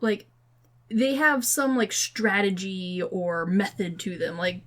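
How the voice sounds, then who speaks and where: A woman's voice: mid-range voice, lively intonation, takes it slowly. A woman co-hosting a podcast, chatting casually about films in an informal, rambling way peppered with "like". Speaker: podcast co-host.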